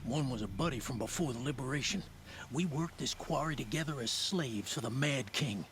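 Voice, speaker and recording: A man's voice: medium pitch, expressive, moderate pace, fairly bright tone, slight background noise. An actor playing a blunt, weary quarry foreman and former slave in a video game. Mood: grim. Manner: blunt, dismissive.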